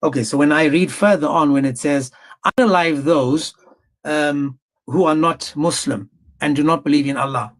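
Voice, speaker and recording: A man hosts a heated live debate with a slightly raised pitch. He presses his questions insistently and repeats short phrases as he talks over the other side. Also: moderate pace.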